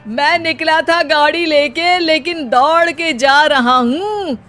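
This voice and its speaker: A woman's voice: sits high, slow, fairly bright tone, faint music behind, pitched above the performer's own voice. A woman voicing a lazy, cheeky young husband who declaims silly rhymes theatrically in a comic cartoon story. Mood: boastful, dramatic.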